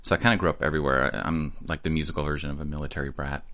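A man's voice: very deep voice, racing. A man from Sweden, a native Swedish speaker, chatting casually and joking as an interview guest. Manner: relaxed, joking, laughs often. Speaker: music producer, guest.

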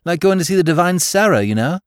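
Man voicing a high, animated upper-class young English lord. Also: quick pace, clear, resonant tone, no room sound, studio quality. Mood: eager, animated. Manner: clipped, emphatic, exclamatory. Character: lively, emphatic English gentleman, young lord and connoisseur. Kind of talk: audiobook character voice.

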